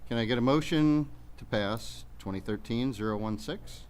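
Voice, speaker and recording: A man's voice: medium pitch, moderate pace, a little mellow. A man speaking as a mayor, running a public meeting and reading out procedural business. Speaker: mayor chairing a township committee meeting. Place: public meeting room with audience. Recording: faint background noise in meeting room.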